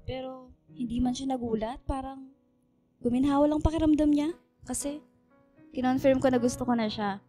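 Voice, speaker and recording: A woman's voice: high-pitched, fairly even intonation, normal speed, long pauses, dark tone, faint music behind, lightly acted. A woman acting a coy, candid young woman in love, talking conversationally in a radio romance drama. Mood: excited, chatty.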